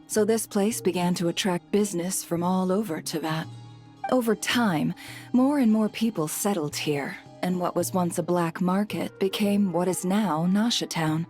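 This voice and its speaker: A woman voicing a poised, shrewd female guild leader in a video game, composed, deliberate and businesslike. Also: fairly low pitch, a little mellow, close to an everyday voice. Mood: composed explaining. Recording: music behind the voice.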